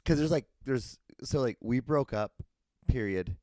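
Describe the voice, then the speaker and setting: A man's voice: medium pitch, expressive, measured pace, darkish tone, no echo. A man hosting a casual solo podcast, rambling crudely and tripping over his words as he reads posts aloud. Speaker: podcast host.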